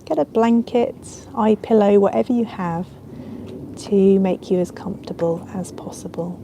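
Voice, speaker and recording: A woman leading a guided relaxation as a yoga nidra teacher, speaking in a soothing, unhurried, gentle way with ambient sound around her. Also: mid-range voice, dull audio, background noise.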